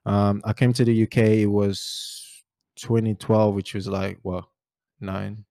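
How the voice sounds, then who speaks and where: A man in his twenties from Portugal, speaking English with a Portuguese accent, hosting a relaxed podcast chat full of fillers. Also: fairly low pitch, takes it slowly, gravelly, dry recording, studio quality. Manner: relaxed, rambling, many fillers. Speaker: podcast host. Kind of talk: casual chat.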